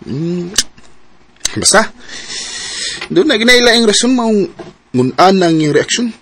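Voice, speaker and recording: An actor with a Filipino Cebuano accent playing a suspicious young buddy in a radio drama, with a husky voice. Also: male voice, high-pitched, sing-song, takes it slowly, fairly bright tone, exaggerated voice.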